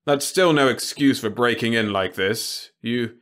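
A man's voice: medium pitch, a little slow, a bit bright, natural voice. Man playing a cold, curt lab director. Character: tired, contemptuous middle-aged male lab director.

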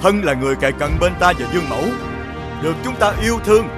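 High-pitched stern heavenly emperor. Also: male voice, animated, fast, music in the background. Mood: stern, angry. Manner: authoritative, scolding. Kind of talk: animated fairy-tale voice acting.

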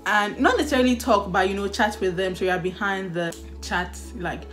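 A woman's voice: medium pitch, brisk, fairly bright tone, soft background music. A young woman with a Ghanaian accent, chatting casually and animatedly as a vlogger, with laughs and sighs. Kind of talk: casual chat.

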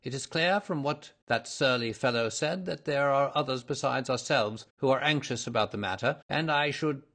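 Guy doing a clever gentleman detective for an audiobook, smooth talker, polite, very self-assured. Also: mid-range voice, normal speed, pitched above the performer's own voice. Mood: amused, musing. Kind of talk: audiobook character voice.

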